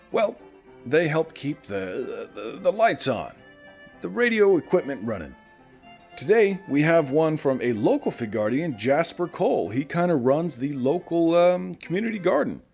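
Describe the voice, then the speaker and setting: A man playing a chatty, caring morning radio host in a post-apocalyptic audio drama, talking straight to his listeners. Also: a little high. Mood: breezy. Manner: conversational, animated, addressing listeners directly.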